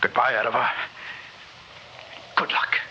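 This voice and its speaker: An actor playing a solemn young conspirator of a future world, speaking portentously in an old radio drama. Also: male voice, medium pitch, little pitch movement, natural voice. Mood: solemn, conspiratorial. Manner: deliberate, portentous. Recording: dull old radio recording with hiss.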